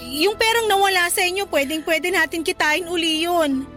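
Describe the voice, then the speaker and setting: A very high-pitched, devoted Filipina daughter. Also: female voice, moderate pace. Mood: tearful, apologetic. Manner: gentle, earnest, polite. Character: devoted, forgiving young adult daughter, respectful and earnest. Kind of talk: emotional radio drama dialogue. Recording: music bed under the dialogue.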